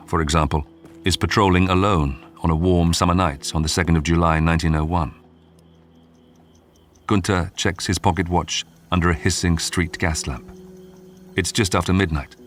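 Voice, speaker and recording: A man narrating a scripted true-crime history documentary in a measured, dramatic storytelling manner. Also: sits low, fairly bright tone.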